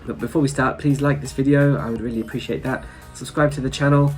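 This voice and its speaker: A man's voice: mid-range voice, fairly even intonation, quick pace, darkish tone, faint music behind. A man with a blocked-up nose from hay fever, chatting casually and a little rambling to his viewers, with small chuckles. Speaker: travel vlogger. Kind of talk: casual chat to camera.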